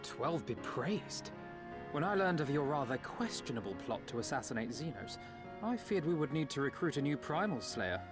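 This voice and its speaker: A man's voice: fairly high pitch, sing-song, normal speed, music in the background. A man playing a composed, scholarly strategist in a fantasy game, speaking precisely and formally with a wry edge. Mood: wry relief.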